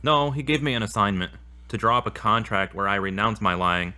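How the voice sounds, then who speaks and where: A man's voice: fairly low pitch, fairly quick, bright, forceful tone. A man playing an earnest, troubled boyfriend in an audio drama, speaking in solemn, formal phrases. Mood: confessing. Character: earnest troubled boyfriend admitting his lies and anger.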